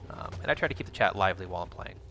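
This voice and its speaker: A man livestreaming a video game, talking with viewers in a calm, explanatory, educator-like way. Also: low voice, very fast, faint music behind. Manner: chats with viewers while narrating play. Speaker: streamer playing a battle royale game. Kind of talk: casual livestream chat while gaming.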